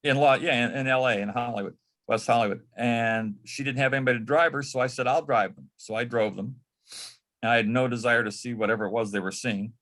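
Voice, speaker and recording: A man's voice: mid-range voice, steady tone, fast talker, a bit bright. An older man from the Detroit area, an interview guest chatting casually and often repeating words as he starts. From Detroit area, United States. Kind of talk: casual anecdotal chat.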